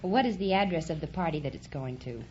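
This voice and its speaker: A woman's voice: low voice, animated, quick pace, a little hiss or hum. An American woman answering as a contestant on a vintage radio quiz show. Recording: muffled vintage radio broadcast, loud audience. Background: studio audience.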